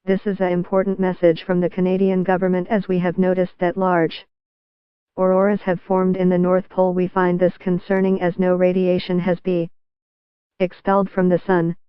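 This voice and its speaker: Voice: medium pitch, monotone, moderate pace, clear, resonant tone, no echo. An announcer reading a scripted government emergency alert in a lo-fi recording.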